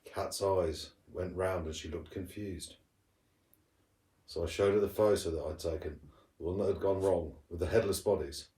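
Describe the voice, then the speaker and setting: A man's voice: low-pitched, steady tone, moderate pace, mellow. A man reading a storybook aloud as a teacher would to pupils, expressively and with the odd stumble. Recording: roomy echo, distant from the mic.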